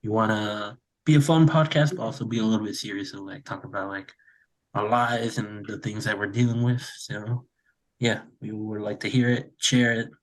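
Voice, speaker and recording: A man's voice: fairly low pitch, steady tone, normal speed, low-quality recording. A man hosting a podcast, casually laying out questions for his guest with loose, rambling phrasing. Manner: loose and rambling, many fillers. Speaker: podcast host.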